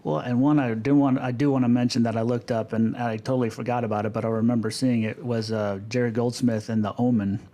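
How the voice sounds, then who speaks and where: Guy guest on a podcast, normal pitch, chatty, kinda halting, repeats stuff for emphasis. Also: level tone, mellow. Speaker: podcast guest, film composer. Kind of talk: casual podcast discussion about film music.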